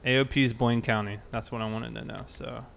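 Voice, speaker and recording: A man's voice: mid-range voice, steady tone, a little fast, gravelly, slight background noise. A man hosting a casual game livestream, thinking aloud and talking informally to his viewers. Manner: informal, thinking aloud while reading chat. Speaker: live streamer, host.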